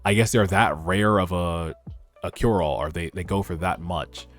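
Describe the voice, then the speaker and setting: Low-voiced man giving casual commentary over music. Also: normal speed, a bit bright. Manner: informal, slangy, conversational asides. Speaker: host of a webtoon read-along. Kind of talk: casual reaction commentary mixed with narrated reading. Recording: music under the voice. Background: background music.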